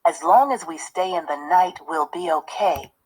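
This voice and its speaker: A woman's voice: lowish voice, normal speed, darkish tone, breathy edge, poor audio. A woman reading the part of a determined, stubborn young boy in a storybook, resolute and muttering.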